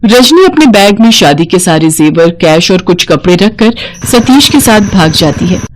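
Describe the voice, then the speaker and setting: A woman's voice: mid-range voice, expressive, normal speed. A woman narrating a scripted moral story as a storyteller.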